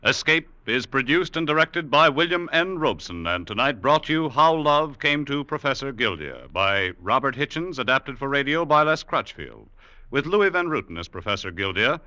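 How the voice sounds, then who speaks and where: A man's voice: medium pitch, very animated, normal speed, sharp tone, dry recording. A man reading scripted credits as a mid-century radio announcer.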